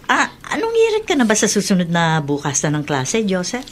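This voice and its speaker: An actress playing a warm, motherly middle-aged aunt in a Filipino radio drama, chatty and affectionate. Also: mid-range voice, huge pitch swings, moderate pace. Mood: welcoming.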